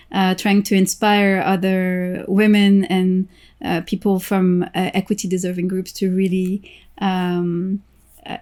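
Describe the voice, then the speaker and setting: Podcast guest: woman from Morocco, measured and reflective, mid-range voice, lots of ums. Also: medium pitch, darkish tone. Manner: thoughtful, measured, with frequent fillers. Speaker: guest, social entrepreneur and impact investor. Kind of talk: podcast interview answers.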